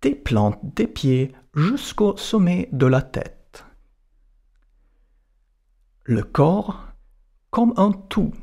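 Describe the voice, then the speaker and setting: A man leads a guided relaxation at an extremely slow pace, in short, separated phrases. His voice is fairly high, with a mellow, soft-edged tone and a gravelly creak. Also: sing-song. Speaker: yoga and meditation teacher.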